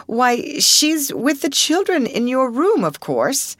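A woman's voice: very animated, slow, dry recording, studio quality, heavily acted. A woman reader voicing a meek, flustered older woman who stammers and cries. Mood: alarmed stammer. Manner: stammering, high and tearful. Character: timid, flustered older woman, a school-mistress's meek sister.

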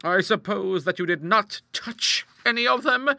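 A man voicing a brisk, outspoken middle-aged English aunt in a storybook, hearty, emphatic and used to commanding. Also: sits high, creaky, sharp tone, no echo, studio quality, voice pushed up, exaggerated voice. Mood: reassuring. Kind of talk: storybook character voice in an audiobook.

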